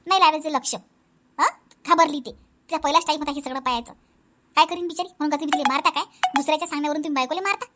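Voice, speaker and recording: A protective elder sister-in-law acted by a woman with a very high, rapid voice. She is outspoken and emphatic, repeating her points in a Vidarbha Marathi accent, as in a family cartoon. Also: heavy background noise, close to an everyday voice. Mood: defensive, firm. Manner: emphatic, repetitive, advising. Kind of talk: animated family comedy acting.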